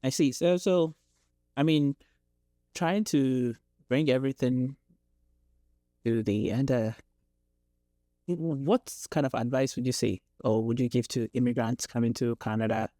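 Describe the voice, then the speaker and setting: A man's voice: mid-range voice, steady tone, a little slow, long pauses, mellow, dry recording, clean studio sound. A man hosting a podcast, asking questions and searching for the right words. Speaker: podcast host. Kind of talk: interviewing. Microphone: studio podcast mic.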